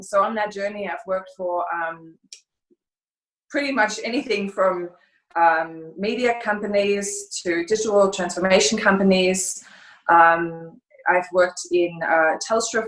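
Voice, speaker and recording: A woman's voice: medium pitch, fairly even intonation, a little slow. A middle-aged woman from Germany with a German accent, answering interview questions in a thoughtful, hesitant way, on a video call from home. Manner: thoughtful, searching for words, frequent hesitations. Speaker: guest, consultancy founder. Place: home room by a fireplace. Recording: echoey room at home.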